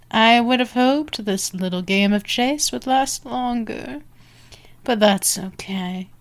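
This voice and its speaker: A woman playing a dominant, predatory female vampire in an ASMR roleplay, taunting and sultry, often slipping into breathy whispers. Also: on the high side, slow, pauses often. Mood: scornful, mocking. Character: dominant, teasing, predatory female vampire stalking her prey.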